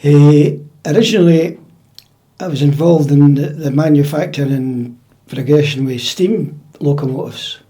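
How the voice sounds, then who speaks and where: An older man with a Scottish accent recalling his life in a measured, matter-of-fact conversational way. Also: a little high, mellow. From central Scotland. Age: older, about 80. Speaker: interviewee, piping-band drummer. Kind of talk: conversational life-story recollection. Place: indoors at home.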